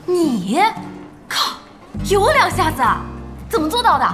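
A high, very animated voice of a feisty young woman running an internet cafe. She is blunt and brash, scolding and exclaiming in audio drama style, with music behind her. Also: a little slow, heavily acted. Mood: brash surprise. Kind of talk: Chinese audio drama acting.

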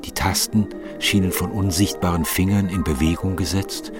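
A man reading scripted literary narration in a measured, formal manner. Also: sits low, fairly even intonation, over loud music.

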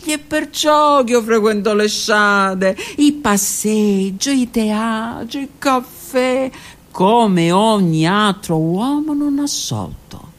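A woman's voice: a little high, takes it slowly. A woman voicing a brash male prisoner who wants to be an actor, reciting a poem with big emphatic stresses. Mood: declaiming. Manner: declaiming poetry with emphatic dramatic stresses.

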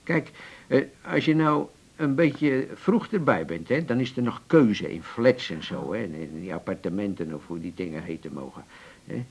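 An actor playing a jovial older man in a Dutch radio drama, folksy and persuasive, with a gravelly, husky voice. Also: fairly low pitch, lively intonation, moderate pace, darkish tone, muffled recording. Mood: coaxing. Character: jovial, coaxing older man courting a companion.

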